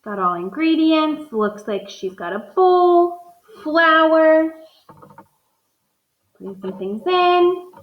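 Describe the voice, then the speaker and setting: Teacher thinking aloud over a picture book. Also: female voice, high-pitched, big pitch swings, slow, long pauses, echoey room, highs cut off. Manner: thinks aloud, modeling inferences for students.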